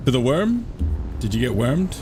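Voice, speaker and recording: A man's voice: mid-range voice, sing-song, normal speed, over music. A man from London streaming a game live, answering viewer questions in a chatty way, laughing often and repeating himself as he thinks aloud. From England, London. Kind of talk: casual live-stream chat.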